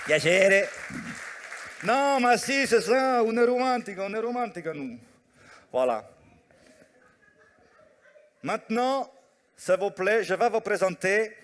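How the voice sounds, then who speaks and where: Male musical comedian, high voice, unhurried delivery, live stage over loud guitar, French sprinkled with Italian. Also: animated, some chatter behind, slight background noise. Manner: sings and chats with the audience, mixing French and Italian. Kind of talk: stand-up comedy with sung parody songs. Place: stage before a live audience. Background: guitar accompaniment.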